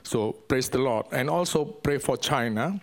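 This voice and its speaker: Male Indonesian-accented missionary preacher, slow delivery, halting non-native English, medium pitch. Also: animated. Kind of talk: church sermon and ministry update.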